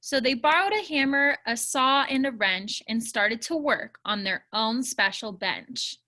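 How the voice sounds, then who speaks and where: A woman reading a rhyming children's storybook aloud in a measured, expressive way. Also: fairly high pitch. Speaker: story reader.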